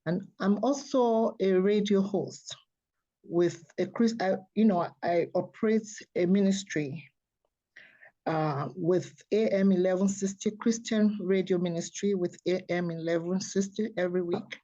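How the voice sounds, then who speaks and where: An earnest, soft-spoken woman tells her story as an interview guest and often laughs softly. Her tone is warm and mellow. She comes through a dull, lo-fi remote line. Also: fairly low pitch, measured pace. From Nigeria.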